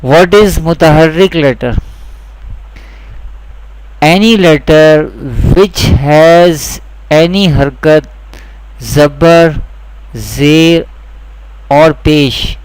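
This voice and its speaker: Slow, clear-voiced male teacher. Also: a little high, darkish tone, no room sound, low-quality recording. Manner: deliberate, repeats each point twice. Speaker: Quran teacher. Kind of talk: slow, repetitive instructional lesson.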